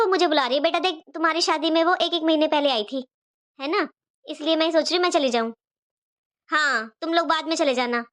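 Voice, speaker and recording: A woman voicing a fretful, caring middle-aged mother-in-law in an animated family drama, with an insistent, repetitive way of talking. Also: sits high. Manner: fussing, insistent, repeats herself.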